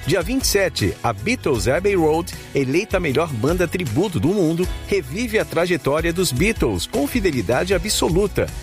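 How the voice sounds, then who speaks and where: Lively, high-pitched Brazilian male ad announcer. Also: moderate pace, few pauses, faint music behind. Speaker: advertisement announcer. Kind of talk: scripted promotional radio announcing.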